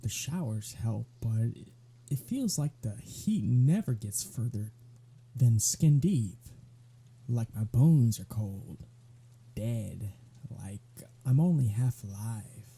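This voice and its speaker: A man's voice: mid-range voice, lively intonation, takes it slowly, creaky, mellow, slightly rough, heavily acted. A man playing a sardonic, weary vampire in an ASMR audio roleplay, talking in a flippant, conversational way. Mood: weary, subdued.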